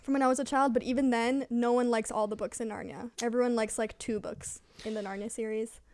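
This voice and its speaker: A woman's voice: fairly high pitch, fairly even intonation, brisk, a little mellow, no room sound. A woman hosting a casual, conversational book chat in an agreeable manner. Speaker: host.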